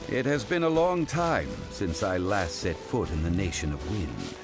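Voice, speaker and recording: A man playing a composed, refined, worldly gentleman in a video game, speaking in a formal, eloquent, measured way. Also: low-pitched, very animated, heavily acted. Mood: calm, reflective. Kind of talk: video game character voice acting. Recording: prominent music behind the voice.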